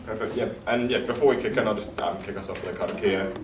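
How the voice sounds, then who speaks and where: A man opening a community workshop in a relaxed, casual way, with many fillers and frequent chuckles. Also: on the low side, fast talker. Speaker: workshop organiser. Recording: roomy, distant, noisy room.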